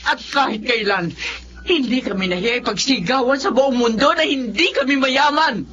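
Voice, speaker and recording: A woman's voice: high voice, normal speed, a bit bright. An actress with a Filipino accent playing a proud, long-suffering middle-aged mother in an emotional radio drama, reproachful and emphatic. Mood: indignant, hurt. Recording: muffled radio drama, faint music.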